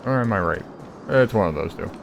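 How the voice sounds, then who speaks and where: A man's voice: on the low side, lively intonation, fairly quick, vocal fry, heavy background noise. A man giving casual game commentary, thinking aloud and muttering playful asides to himself as he plays. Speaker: gamer streaming a playthrough. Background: game music.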